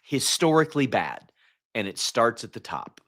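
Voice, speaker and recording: A hoarse man hosts a solo sports stream and vents about his team like a fed-up fan. His voice sits at an ordinary middle pitch, and he stumbles and restarts when he gets worked up. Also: sing-song, moderate pace, fairly bright tone. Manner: frustrated ranting fan, restarts mid-sentence. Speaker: host.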